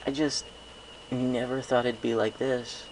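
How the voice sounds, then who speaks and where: A man's voice: medium pitch, level tone, a little mellow, a little hiss or hum, voice pushed up, lightly acted, low-quality recording. Man playing a hesitant, awkward stallion. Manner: halting, stammering. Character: hesitant, awkward, bewildered grown stallion pony.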